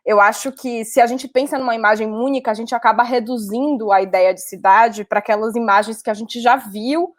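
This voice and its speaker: A woman's voice: a little high, brisk, hardly any pauses. A woman researcher, giving reflective, analytical commentary. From Salvador, Bahia, Brazil.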